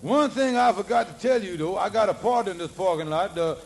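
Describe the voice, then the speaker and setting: An actor playing a wheedling, stammering schemer who mangles big words in an old radio sitcom. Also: male voice, high-pitched, a little fast. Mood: anxious pleading. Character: scheming, fast-talking lodge schemer dodging trouble. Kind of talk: 1950s radio sitcom acting. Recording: noisy old radio comedy recording.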